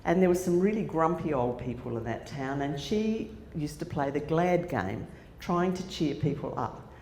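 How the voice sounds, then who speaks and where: Woman, 60s, Australian accent, conference speaker, low pitch, dark voice, roomy hall echo, faint noise. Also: animated, normal speed. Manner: warm storytelling with fillers and restarts. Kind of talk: personal story told to an audience. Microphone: handheld microphone.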